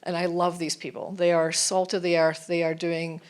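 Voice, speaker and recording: A woman's voice: on the low side, little pitch movement, normal speed. Earnest woman on a panel. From Scotland. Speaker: corporate executive, panelist. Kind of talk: panel discussion. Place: festival panel stage.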